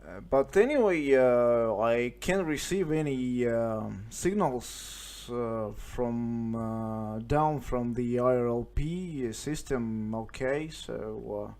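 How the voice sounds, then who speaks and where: Slow, hesitant Russian-accented man, mellow voice. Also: mid-range voice. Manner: hesitant, halting, searching for words. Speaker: amateur radio operator. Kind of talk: casual radio contact chat. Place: at home, indoors.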